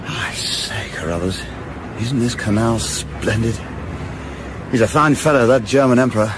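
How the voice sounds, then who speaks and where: A man acting an eager, patriotic young English gentleman yachtsman in a radio play, with a hearty but hesitant old-fashioned manner. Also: mid-range voice, a little slow, lightly acted. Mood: cheerful, admiring. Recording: clearly noisy outdoor effects behind voice.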